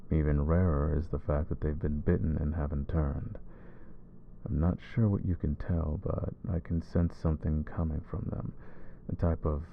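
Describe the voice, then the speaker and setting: A man voicing a brooding male vampire who hunts vampires, soft-spoken and calm in an ASMR roleplay. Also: extremely low pitch, vocal fry, phone-quality sound, a little hiss or hum, heavily acted.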